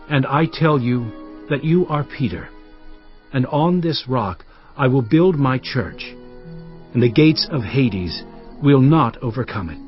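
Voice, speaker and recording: An actor playing a commanding, earnest male teacher in a dramatized audio Bible, speaking emphatically and deliberately. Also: medium pitch, highs cut off, lightly acted. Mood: solemn, affirming.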